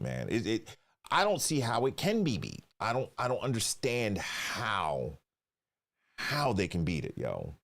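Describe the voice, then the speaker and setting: A deep-voiced man hosts a live stream, reacting with slangy, swaggering banter. His pitch swings widely from low drawls to sudden highs. He talks loosely and casually, with profanity. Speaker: livestream host.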